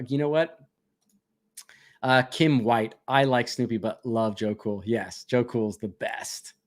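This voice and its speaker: A man in a relaxed livestream, reading viewer comments aloud and answering them in an easygoing, chatty way. He laughs often, and his voice sits in an ordinary middle pitch for a man. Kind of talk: casual live Q&A chat.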